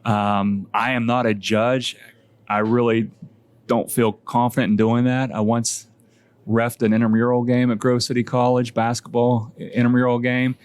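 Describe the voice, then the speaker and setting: A man's voice: mid-range voice, flat delivery, normal speed, darkish tone, smooth, no echo. A man chatting casually as a guest homebrewer, rambling and self-deprecating, laughing at his own stories. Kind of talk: casual podcast chat.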